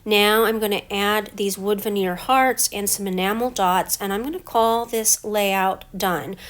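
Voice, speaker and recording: A middle-aged woman with a stuffy head cold, talking through her scrapbook layout step by step in a relaxed voice-over. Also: mid-range voice, measured pace. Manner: relaxed, explains each step as she works. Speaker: scrapbooking crafter. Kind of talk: casual craft voice-over.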